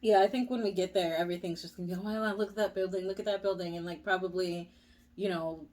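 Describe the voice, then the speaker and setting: A woman's voice: lowish voice, steady tone, fast talker, a little mellow. A young woman vlogger chatting casually, full of "like" and "you know". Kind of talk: casual chat.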